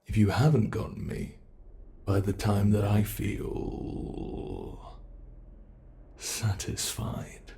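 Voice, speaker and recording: A man playing a slow, gravelly British monster. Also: fairly low pitch, huge pitch swings, dark tone, slight background noise, exaggerated voice. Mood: taunting. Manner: slow, taunting, toying with the listener. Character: teasing predatory male monster captor, playful and menacing. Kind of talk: ASMR horror roleplay.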